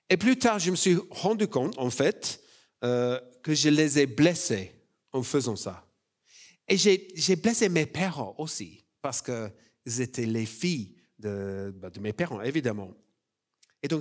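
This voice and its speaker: A young man with an Australian accent, speaking French as a church preacher in a relaxed, personal way with many fillers and restarts. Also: fairly high pitch. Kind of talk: sermon.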